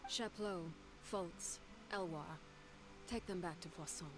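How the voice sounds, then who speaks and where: An actress voicing a cold, stern female leader who speaks to her foster children in a measured, grave and commanding way, in video game dialogue. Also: fairly low pitch, background noise.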